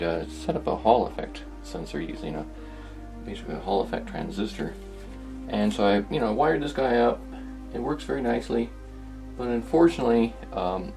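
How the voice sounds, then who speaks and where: A man's voice: deep voice, creaky. A man narrating a hobby workshop project informally, thinking aloud in a hesitant, rambling, musing way. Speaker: host of a hobby electronics restoration video.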